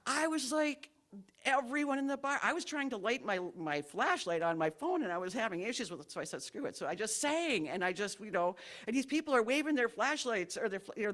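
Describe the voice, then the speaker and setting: A woman with a low voice sharing a rambling, self-deprecating personal story at a church service, in a packed room. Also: a bit bright. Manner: chatty, rambling, self-deprecating, laughs while telling the story. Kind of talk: informal testimony at a church service.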